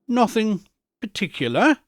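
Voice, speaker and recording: A man's voice: high voice, very animated, measured pace, no room sound, studio quality, voice pushed up. A man voicing an agitated old miser in a storybook reading, earnest, defensive and emphatic. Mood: flustered, regretful. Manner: heated, emphatic.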